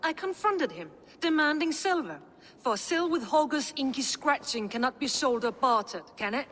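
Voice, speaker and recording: An aggrieved settler woman with a high voice, demanding payment in pointed words. A long hall reverb follows her. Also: expressive, moderate pace, a bit bright. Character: aggrieved female settler, wronged property owner.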